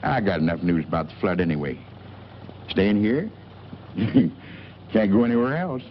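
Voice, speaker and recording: Low-voiced small-town man, old film. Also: lively intonation, moderate pace. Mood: gruff small talk. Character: plain small-town local man giving a stranger a lift. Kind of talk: 1940s film noir acting. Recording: muffled old film soundtrack with hiss.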